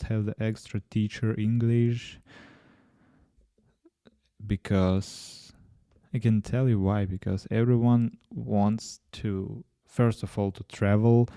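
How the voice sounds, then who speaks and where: Slow, mellow Ukrainian-accented man. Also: lowish voice, clean tone, dry recording, clean studio sound. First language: Ukrainian and Russian. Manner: halting, many pauses and restarts, non-native grammar. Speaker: podcast host. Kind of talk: casual solo podcast talk.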